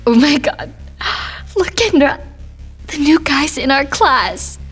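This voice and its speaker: A woman's voice: high voice, animated, slow pace, full character voice. A woman playing a loyal, concerned teenage girl, talking casually and supportively as a best friend in an audio drama. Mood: alarmed. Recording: music under the voice. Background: music.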